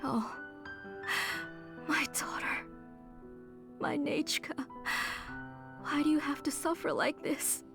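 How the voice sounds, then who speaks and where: Higher-voiced grieving, motherly woman. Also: fairly even intonation, takes it slowly, gravelly, long pauses, music in the background, exaggerated voice. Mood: grieving, anguished. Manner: motherly, fussing, gentle. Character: grieving, doting middle-aged mother and caring host. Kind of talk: scripted video game dialogue.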